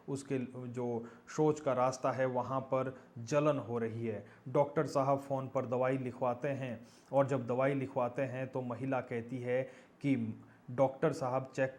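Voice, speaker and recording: A male news presenter with a mid-pitched voice. He comments on events directly to viewers, leaning on key phrases and repeating them. His delivery often turns to pointed rhetorical questions. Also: a little mellow.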